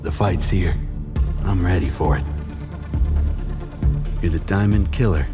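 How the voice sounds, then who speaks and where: A world-weary ex-boxer telling his own noir story. The voice is deep, brooding and bitter, in short clipped sentences. Music plays clearly behind him. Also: measured pace. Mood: wary. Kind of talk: first-person noir audio drama narration.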